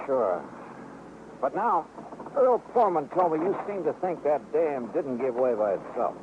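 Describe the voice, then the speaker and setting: An American actor playing an easygoing, inquisitive insurance investigator in an old radio drama, brisk and conversational. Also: male voice, on the high side, very animated. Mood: relaxed, curious. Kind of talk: 1950s radio drama acting, with narrated expense account. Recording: noisy, phone-like old radio recording.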